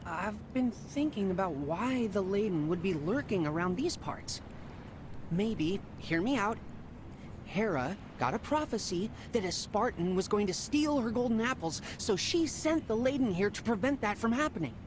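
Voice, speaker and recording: A woman's voice: medium pitch, expressive, moderate pace, noisy background, lightly acted. A woman playing an eager, imaginative young Spartan boy in a video game, chatty and inquisitive, with music underneath. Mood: thoughtful. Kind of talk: dramatic video game acting.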